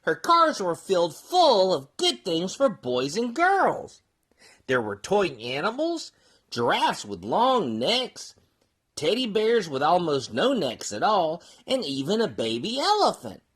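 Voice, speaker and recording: A performer voicing a cheerful folksy mule who reads a children's storybook aloud in an animated, sing-song way. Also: mid-range voice, slow pace, slightly rough, full character voice. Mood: gentle storytelling.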